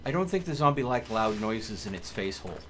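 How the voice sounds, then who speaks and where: A man's voice: fairly low pitch, very animated, a little fast, slight background noise. A man chatting casually as a game streamer, with playful, joking asides. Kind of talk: casual gaming livestream chat.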